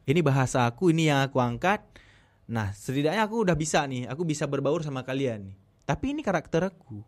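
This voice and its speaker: A man's voice: mid-range voice, animated, fast talker. A young man from Aceh with an Acehnese accent, talking earnestly as a podcast guest and repeating himself for emphasis. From Aceh, Indonesia. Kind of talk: casual podcast conversation.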